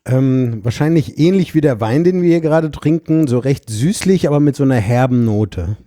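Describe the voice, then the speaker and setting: A man from Heidelberg with a very warm, mellow voice at a middle pitch. He answers a studio interviewer hesitantly, with plenty of "ähm" and a dry sense of humour. The sound is close and dry. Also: lively intonation, moderate pace, dry recording. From Heidelberg, Germany. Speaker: guest, painter and designer. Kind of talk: casual interview.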